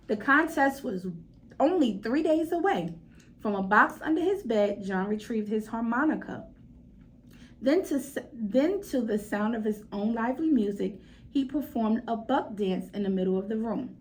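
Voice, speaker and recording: A woman reads a children's story aloud in a high voice with very lively intonation. She leans on key words dramatically and speaks straight to her young listeners. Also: rough recording. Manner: animated, dramatic emphasis, addresses listeners directly. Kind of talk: expressive children's story reading with asides to listeners.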